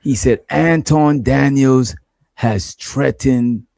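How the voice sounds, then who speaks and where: A man's voice: medium pitch, slow pace, gravelly, darkish tone, no echo. A man hosting a live online stream, talking loosely and drawling to his chat while he plays clips. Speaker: livestream host. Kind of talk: casual stream commentary.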